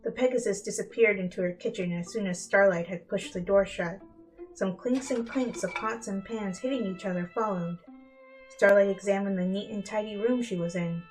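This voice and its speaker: Female storybook narrator, mid-pitched, dramatic reading style, soft instrumental music underneath. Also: poor audio. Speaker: fan fiction reader. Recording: music bed behind the voice.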